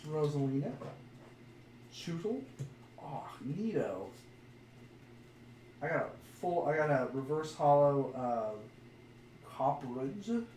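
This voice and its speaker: Male podcast co-host, casual and jokey, lightly higher pitch, echoey room with faint noise. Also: very animated, slow, long pauses, a little mellow. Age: adult, parent of a young son. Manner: jokey, crude, plays with words. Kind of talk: casual chat while opening trading card packs. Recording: roomy echo, off-mic, faint noise.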